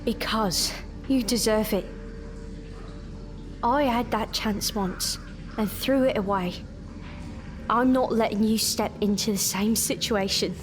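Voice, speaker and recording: A woman's voice: mid-range voice, slow pace, long pauses, lightly acted. A woman playing a protective, pleading young woman among thieves in an audio drama, earnest and urgent. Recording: music behind.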